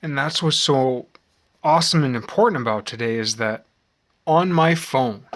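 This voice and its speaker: A man in his forties with an American accent, giving a rambling, reflective monologue in a halting, musing way with repeated phrases. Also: medium pitch, fairly bright tone. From United States. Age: 40s. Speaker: vlogger.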